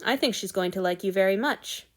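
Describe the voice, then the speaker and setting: A woman's voice: mid-range voice, a little fast, natural voice. A woman voicing a brusque, grumbling male god in a storybook audiobook. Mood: brisk. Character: gruff male god, lord of the gods, brusque but tender.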